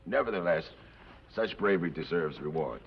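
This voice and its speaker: An actor playing a grateful, wry Mexican outlaw of about forty-five in an old TV western, speaking formally and floridly with a Mexican accent. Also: male voice, sits low, expressive, normal speed, creaky. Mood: amused, warm. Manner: florid, formal speech sprinkled with Spanish. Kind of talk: 1950s television western acting. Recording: muffled, noisy old TV western soundtrack.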